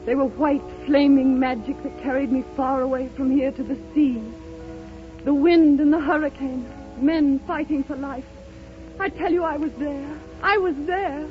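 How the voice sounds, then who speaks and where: A woman's voice: high-pitched, steady tone, normal speed, natural voice. An actress playing a serene, rapturous bedridden blind woman in a 1930s radio drama, speaking with lyrical, theatrical fervor. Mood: rapturous, insistent. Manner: theatrical, impassioned, lyrical declamation. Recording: muffled 1930s radio broadcast, loud music.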